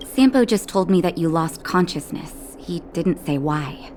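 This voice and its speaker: An actress voicing a calm, caring woman doctor in a video game, speaking in a gentle, reassuring way. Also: lowish voice, measured pace. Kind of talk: scripted game dialogue. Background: music.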